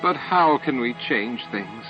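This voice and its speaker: A man's voice: mid-range voice, expressive, slow, voice pushed up. A man voicing an earnest, troubled young female alien scientist in an audiobook drama. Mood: despairing. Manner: earnest, anxious, hesitant. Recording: dull lo-fi audio with music.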